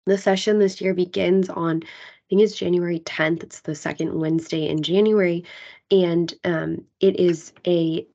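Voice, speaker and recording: A hoarse woman recovering from a cold gives a polite, organized briefing to a public meeting as a county legislative liaison. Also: lowish voice, steady tone, moderate pace, mellow, lo-fi sound. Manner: polite, organized run-through of dates.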